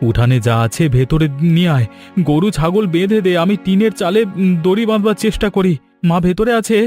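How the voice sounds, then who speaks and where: A man's voice: high voice, a little fast, warm tone, faint music behind, natural voice. A man playing a practical, reassuring elder brother in a cartoon family drama, a little hesitant. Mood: concerned, practical.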